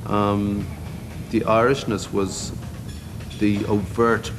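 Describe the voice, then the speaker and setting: A man giving a blunt, measured documentary interview. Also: lowish voice, little pitch movement, background noise.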